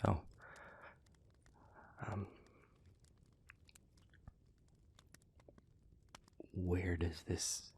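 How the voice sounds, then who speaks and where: A man playing an anguished, protective demon pleading with a former lover in an intimate audio roleplay, husky and breathy, halting and stammering in his earnestness. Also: low-pitched, level tone, darkish tone, a little hiss or hum, exaggerated voice. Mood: solemn, hesitant.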